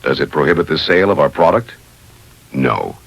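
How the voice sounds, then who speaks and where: A man's voice: extremely low pitch, sing-song, brisk, vocal fry, fairly bright tone, breathy edge, no room sound, poor audio. A man reading scripted instructional narration in a formal, methodical way, as the narrator of a training video.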